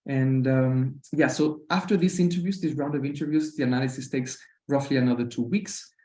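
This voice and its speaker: A man's voice: medium pitch, fairly even intonation, brisk, dark tone. A man from Costa Rica with a Spanish accent, presenting informally in a webinar and often hesitating and repeating words. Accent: Costa Rican Spanish. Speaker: university program representative presenting. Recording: roomy online webinar audio.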